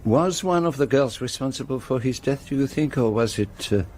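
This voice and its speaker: An actor playing a courteous, middle-aged Belgian detective with a French-speaking Belgian accent, speaking formally and precisely in a radio play. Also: male voice, mid-range voice, expressive, a little mellow, natural voice. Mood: probing. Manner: formal, precise diction, measured questioning.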